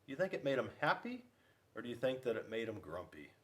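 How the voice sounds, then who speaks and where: Animated man reading to young children. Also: mid-range voice, fast talker. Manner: playful, addressing young listeners with questions. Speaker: school resource officer reading to children. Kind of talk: animated children's read-aloud.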